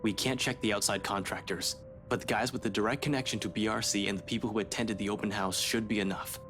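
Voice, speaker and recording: A man's voice: on the low side, steady tone, fast, fairly bright tone, faint music behind. A man acting a grim, blunt, businesslike male police detective in a video game. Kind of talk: scripted visual novel game voice acting.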